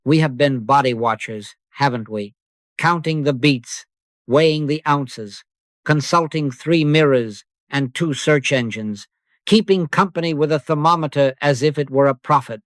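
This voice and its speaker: A man leading a slow guided meditation in the manner of a sermon, speaking in a hushed cadence full of scripture. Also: medium pitch, no echo, clean studio sound. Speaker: spiritual teacher.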